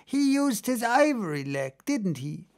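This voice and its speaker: A man's voice: high voice, sing-song, measured pace, darkish tone, voice pushed up, full character voice. A man voicing an old hunchbacked merman who mutters, argues and lectures in a storybook reading. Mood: lecturing, persuasive.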